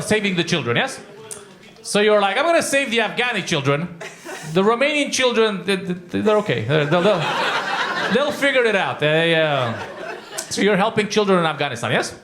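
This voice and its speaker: A man's voice: high-pitched, very animated, brisk, reverberant. A man doing stand-up crowd work in a comedy club, teasing audience members and laughing through his jokes.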